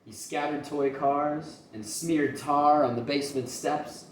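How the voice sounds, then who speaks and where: A man reading a children's story aloud in an expressive teacher's style. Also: on the high side, measured pace. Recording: roomy, distant mic, faint noise.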